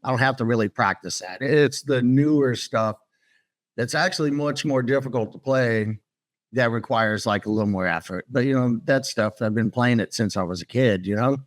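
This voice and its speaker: A man in his sixties talks in a loose, blunt way, swearing freely and sounding worn out. His pitch sits in an ordinary middle range, and he comes through a video call. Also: moderate pace. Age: 60s. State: tired. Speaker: guest guitarist. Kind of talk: casual interview chat.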